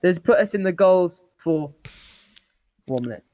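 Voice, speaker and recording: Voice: mid-range voice, lively intonation, measured pace, dark tone, dry recording. A person reading a comic tall tale as an old-timer recalling a harsh childhood, often stumbling and breaking into laughter.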